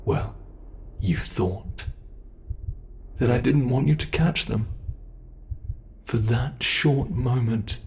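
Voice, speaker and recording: A man playing a menacing monster in an ASMR roleplay, taunting captives with theatrical, deliberate mock politeness. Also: mid-range voice, gravelly, darkish tone. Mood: gloating.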